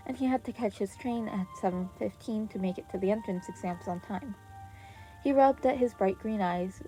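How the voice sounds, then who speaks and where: A woman reading scripted fiction aloud as an expressive podfic narrator. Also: mid-range voice, low-quality recording. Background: background music.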